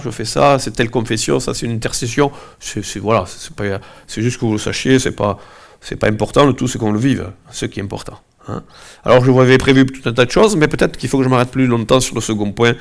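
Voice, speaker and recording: Man with a head cold preaching. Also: medium pitch. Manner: conversational, hesitant, many fillers and asides. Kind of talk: informal sermon with prayer. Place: church gathering, also streamed online.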